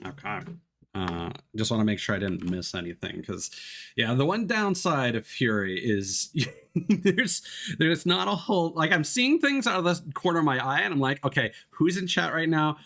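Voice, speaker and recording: A man's voice: fairly high pitch, very animated, normal speed, a bit bright. A man streaming a video game with casual live commentary, chatty and polite as he thinks aloud. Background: video game music.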